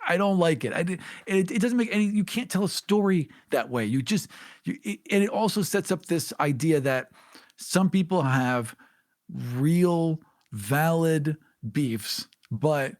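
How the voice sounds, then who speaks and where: A man co-hosting a podcast, talking emphatically in casual chat and jumping up in pitch to stress his points. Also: a little slow, no echo, clean studio sound. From Armenian heritage. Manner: emphatic, animated, jumps up in pitch when making a point. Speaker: podcast co-host.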